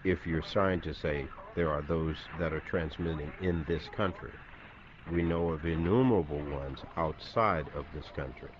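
A man's voice: a bass voice, normal speed. A man giving a formal, guarded statement as a government official, in a muffled recording. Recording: muffled, with crowd-like noise.

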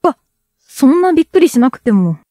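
Young woman as high-voiced, shy, friendly schoolgirl. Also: big pitch swings, moderate pace, warm tone, dry recording, clean studio sound. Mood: teasing, friendly. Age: young adult. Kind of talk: Japanese student radio drama acting.

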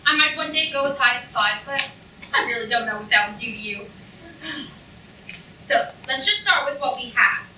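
A woman playing a polite, sadistic torturer count, deliberate and clinical, in a staged reading of a comic play. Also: on the high side, lively intonation, natural voice. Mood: clinically menacing. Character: courteous, sadistic torturer count, coolly scholarly. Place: auditorium stage, recorded from the audience. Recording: echoey hall, distant, noisy audience room.

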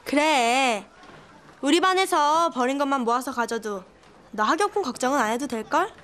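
A woman's voice: high voice, animated, moderate pace, fairly bright tone, a little hiss or hum. An actress playing a mean, taunting schoolgirl in a children's TV drama. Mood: mocking. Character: mocking schoolgirl, a mean classmate.

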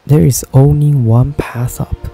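A man narrates a travel vlog in a slow, unhurried voice-over. His tone is deep, dark and mellow, with a gravelly edge. He speaks careful, measured learner's English over soft background music. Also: fairly even intonation, low-quality recording. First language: Japanese. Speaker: travel vlogger.

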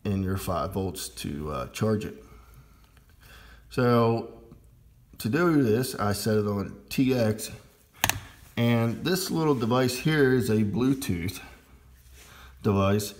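A man giving a casual, unscripted hands-on demonstration of his hobby equipment, talking in a halting way. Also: fairly low pitch, darkish tone. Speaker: amateur radio hobbyist demonstrating gear.

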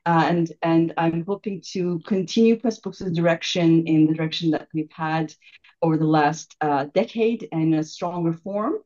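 A woman's voice: low voice, moderate pace, warm tone. A woman from Turkey with a Turkish accent, introducing herself informally as an incoming chief executive on a video call. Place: online video meeting. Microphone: video call microphone. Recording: dull lo-fi video call audio.

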